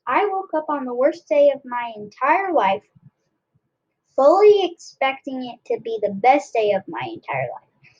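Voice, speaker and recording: A woman reading a children's book aloud expressively, in a deliberate way with dramatic pauses. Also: high-pitched, big pitch swings, darkish tone, slightly rough, highs cut off. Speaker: read-aloud narrator.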